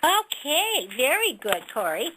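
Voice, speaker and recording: A woman's voice: sits high, wildly swooping pitch, measured pace, noisy background. A woman hosting a company call, answering questions in an informal, chatty and rambling way. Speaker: company conference call host.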